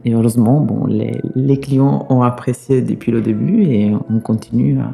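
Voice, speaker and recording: Gravelly-voiced man with an Italian accent. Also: medium pitch, normal speed, warm tone. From Sicily, Italy. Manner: hesitant, many fillers and restarts. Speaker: pizzaiolo guest. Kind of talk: interview answers.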